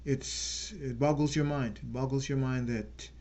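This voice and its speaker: A man hosting his own channel, giving an earnest unscripted political talk and slowing down to stress key words. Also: medium pitch, fairly even intonation, darkish tone. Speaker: channel host.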